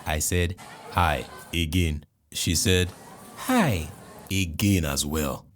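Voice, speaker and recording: A man with a Nigerian accent, a podcast host telling a comic personal story in an animated, jokey way with exclamations. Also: deep voice, unhurried, faint music behind.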